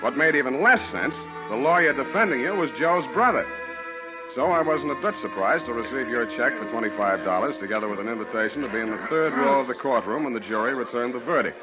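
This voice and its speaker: An actor playing a street-wise, unimpressed private detective, narrating and talking in a wry, slangy, deadpan 1940s radio style. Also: male voice, medium pitch, fast, lightly acted. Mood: wry, matter-of-fact. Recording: muffled old 1940s radio recording with music.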